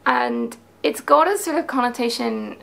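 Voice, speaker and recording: Creaky-voiced woman chatting about knitting. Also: a little high, normal speed, fairly bright tone. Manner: chatty and enthusiastic, explains techniques step by step with hand gestures. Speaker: knitwear designer presenting her patterns. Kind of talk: casual explanatory video talk. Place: small home studio with a craft table.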